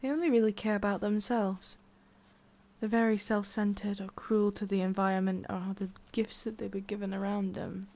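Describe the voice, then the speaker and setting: Creaky-voiced tsundere witch, played by a woman. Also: mid-range voice, flat delivery, moderate pace, a little mellow, close to an everyday voice. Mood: disdainful. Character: centuries-old tsundere forest witch, haughty but secretly soft, woman. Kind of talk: ASMR roleplay, addressing the listener.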